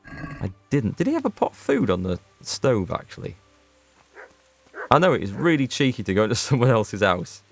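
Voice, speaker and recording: A man's voice: fairly low pitch, vocal fry, no echo. A man giving casual commentary on a game he is streaming, chatting with viewers as he plays. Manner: chatty, reads out and answers viewer chat. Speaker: gaming streamer. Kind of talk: casual let's play commentary.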